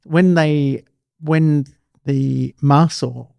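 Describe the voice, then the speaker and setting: A creaky-voiced Australian man chats as a podcast host. He is curious and informal, often breaking off and starting a thought again, in a dry recording. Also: fairly high pitch, fairly even intonation, very slow, a little mellow, dry recording. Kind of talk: casual conversational interviewing.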